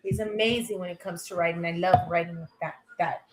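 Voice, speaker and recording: A woman's voice: fairly low pitch, fairly even intonation, brisk, slight background noise. A woman singer chatting as a guest, telling stories with lots of laughter. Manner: laughing, bubbly storyteller. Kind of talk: casual interview chat.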